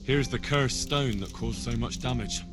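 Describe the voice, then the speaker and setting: A man's voice: medium pitch, moderate pace, over music, natural voice. An actor playing an earnest, inquisitive young medieval man in video game dialogue, polite and plain-spoken. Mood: polite, probing.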